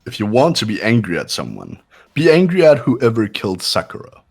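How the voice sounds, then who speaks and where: A man's voice: fairly low pitch, huge pitch swings, a little fast, creaky, husky, faint music behind, heavily acted. A man voicing a cold, haughty, condescending young man who gives orders in a fan-voiced visual novel game. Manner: condescending, clipped.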